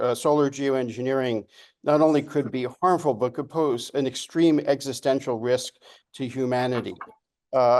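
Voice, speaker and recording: A man's voice: mid-range voice, darkish tone. A man presenting a physics lecture over a video call, explaining step by step with some restarts. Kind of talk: academic lecture. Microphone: remote video call.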